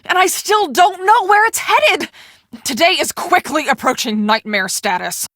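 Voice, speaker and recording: An actress playing a brisk, bossy young woman on a storm-chasing team in a video game, speaking emphatically and expressively. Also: very high voice, animated, a bit bright, no echo, clean studio sound, full character voice. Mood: stressed, urgent. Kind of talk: scripted video game dialogue.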